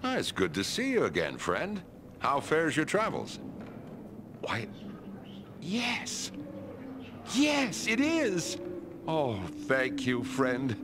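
A man's voice: fairly high pitch, wildly swooping pitch, takes it slowly, pauses often, background noise, heavily acted. A man voicing a gushing, theatrical, grateful traveller in a fantasy video game. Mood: effusive gratitude.

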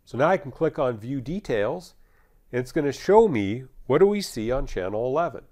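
An American man in his fifties working as a technical trainer, explaining a software demonstration step by step in a conversational way. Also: medium pitch, big pitch swings, normal speed.

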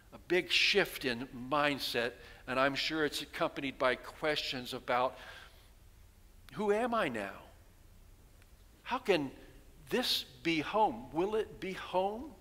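Older man, pastor, sermon, headset mic, bright tone, reverberant sanctuary. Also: fairly high pitch, very animated, slow. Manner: storytelling preacher, rhetorical questions, voicing townspeople. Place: large church sanctuary, at pulpit. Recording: long reverb of a church hall.

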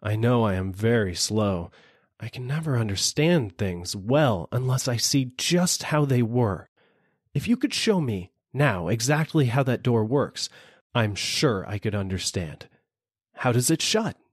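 Here is a man performing a small, sly storybook jackal who feigns puzzled, apologetic slowness. The pitch lifts and swoops in an animated way, and the voice is slightly husky. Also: dry recording, studio quality. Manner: vacant, apologetic, exaggeratedly puzzled. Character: sly little jackal feigning slow-witted politeness.

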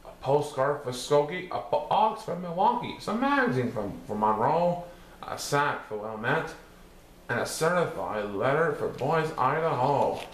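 A man's voice: fairly high pitch, very animated, a little slow, a bit bright. A man reading a children's picture book aloud in verse, with a theatrical, rhythmic, sing-song delivery. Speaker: storybook reader. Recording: roomy echo with some background noise.

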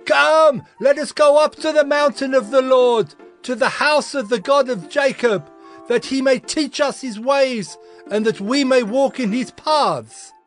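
An exhorting pilgrim played by a man calls out to others in a dramatized scripture reading. The voice is extremely high and urges listeners onward. Soft music sits underneath. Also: measured pace. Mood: eager exhortation. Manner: calling out, exhorting.

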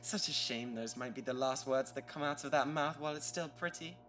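A man's voice: medium pitch, a little fast, a bit bright, natural voice. A British man playing an obsessive young yandere kidnapper in a dramatic ASMR roleplay, possessive and menacing. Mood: sadistic menace. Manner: possessive, menacing, swinging from tender coaxing to frenzy.

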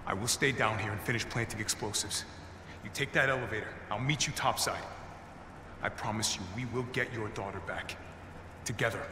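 A man's voice: lowish voice, brisk, natural voice. A middle-aged man acting a hardened, weary military captain in a video game, terse and commanding. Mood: firm, explaining. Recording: echoey reverberant space, faint noise.

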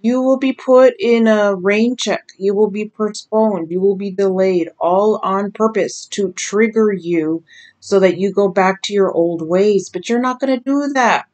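Slow-speaking raspy woman reading tarot. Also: medium pitch, darkish tone. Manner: slow, emphatic, drawn-out warnings. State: raspy from lack of sleep. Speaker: tarot reader. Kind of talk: slow intuitive card reading to camera. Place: small decorated bedroom indoors.

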